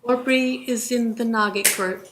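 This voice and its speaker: A woman with an Australian accent giving a formal, measured presentation in a courtroom, speaking in short listed phrases. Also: fairly high pitch, fairly even intonation, noisy background. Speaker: language presenter at a court inquest.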